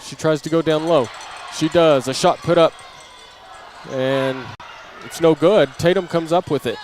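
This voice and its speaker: A man's voice: fairly high pitch, measured pace, darkish tone. A man doing live play-by-play basketball commentary in short, clipped running calls, as a sports announcer. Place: indoor gymnasium during a basketball game. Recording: noisy live gym broadcast.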